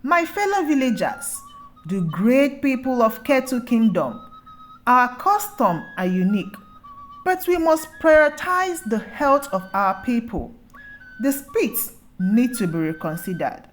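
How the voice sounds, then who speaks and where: A woman's voice: a little high, big pitch swings, soft background music. A woman voicing a dignified male village chief formally addressing his people in a folktale. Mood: solemn, persuasive. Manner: formal, deliberate oratory. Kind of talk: folktale storytelling.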